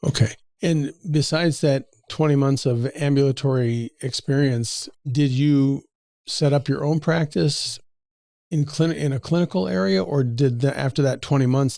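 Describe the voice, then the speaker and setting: A male podcast host with a mellow, warm tone and a dry, close sound. He asks his questions conversationally, repeating words and rewording them mid-sentence. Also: mid-range voice, measured pace, creaky, no echo. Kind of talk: interview questions.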